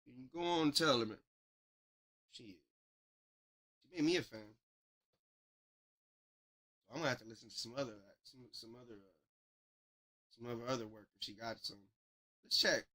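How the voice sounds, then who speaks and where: A man's voice: mid-range voice, moderate pace, pauses often, pushed and bright, poor audio. A man hosting a music review livestream, giving casual, slangy, off-the-cuff commentary on songs. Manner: slangy, informal. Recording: music playing behind the voice. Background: music tracks being reviewed.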